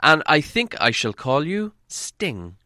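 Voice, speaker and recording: A man plays a small hobbit adventurer from a storybook, anxious yet plucky. The voice sits high and bright, earnest and plaintive, recorded close and dry. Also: sing-song, takes it slowly, dry recording, full character voice. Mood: quiet resolve. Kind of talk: audiobook character voice.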